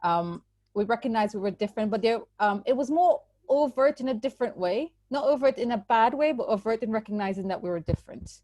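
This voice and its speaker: A woman, giving a measured, explanatory personal account as a guest. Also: fairly high pitch, animated, dark tone, no room sound. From Trinidad and Tobago. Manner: measured, explanatory, qualifies her points. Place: church recording.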